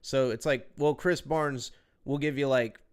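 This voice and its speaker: A nasal-voiced man talks loosely on a podcast, dropping swear words and laughing easily. His voice comes through smooth and clear. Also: mid-range voice, steady tone, unhurried. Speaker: podcast co-host. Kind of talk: casual chat.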